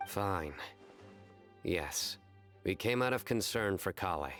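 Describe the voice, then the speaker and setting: Casting: grave young game lawman, deep-pitched, measured and serious. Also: expressive, a bit bright, soft background music, exaggerated voice. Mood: grave, caring. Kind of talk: video game cutscene acting.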